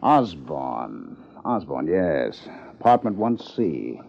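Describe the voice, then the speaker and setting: An actor playing a dry, cultured investigator in a 1950s radio drama, speaking in a terse, sardonic way. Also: male voice, rumbling low, very animated, slow, gravelly, exaggerated voice. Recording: muffled, noisy old radio recording.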